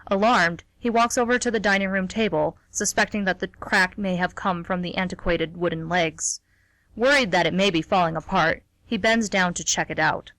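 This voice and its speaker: A woman's voice: on the low side, normal speed, a bit bright, no room sound, rough recording. A woman reading scripted story narration as part of a radio theatre broadcast. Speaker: narrator and co-host.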